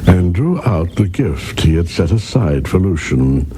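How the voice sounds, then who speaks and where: A man narrating a scripted radio drama in a measured, expressive storytelling manner. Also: low voice, a little hiss or hum.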